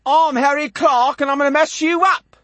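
A man's voice: extremely high pitch, steady tone, moderate pace, fairly bright tone, no echo, pitched above the performer's own voice. An actor playing an eight-year-old boy clowning as a cheeky, swaggering character with an English accent, in a stage monologue. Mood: cheeky defiance. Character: cheeky, cocky Cockney-style boy alter ego, eight.

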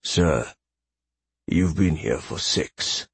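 Husky, deep old librarian, acted by a man. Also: measured pace, darkish tone, no echo, full character voice. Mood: dryly smug. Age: older. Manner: curt, formal, precise. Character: elderly male librarian, formal, dutiful, dryly smug servant. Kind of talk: audiobook character voice.

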